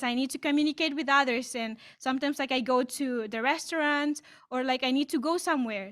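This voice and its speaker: This is a high-pitched young woman from East Timor whose first language is Tetun. She answers in English on a video call. She keeps dropping in "like" and often stops and restarts her sentences. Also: fairly bright tone. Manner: frequent "like" fillers, halting restarts. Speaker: student panelist. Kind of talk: online Q&A answer.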